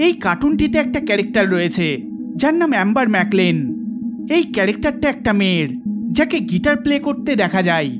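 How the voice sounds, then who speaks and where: A man with a very high-pitched voice delivers scripted documentary narration. He tells the story dramatically, and loud music plays behind him throughout. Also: very animated, fast talker. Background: loud background music.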